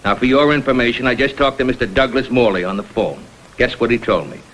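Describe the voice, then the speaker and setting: A man's voice: mid-range voice, animated, fast talker, a bit bright, slight background noise, natural voice, rough recording. An American man playing a hard-nosed, sceptical police lieutenant in a vintage TV crime drama, blunt and terse. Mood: sardonic. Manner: blunt, terse, world-weary.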